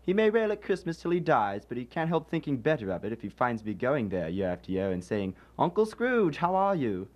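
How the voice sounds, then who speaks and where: An actor playing a cheerful, forgiving young nephew in a radio drama, good-humoured and animated. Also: male voice, medium pitch, brisk, hardly any pauses, dull audio, lightly acted.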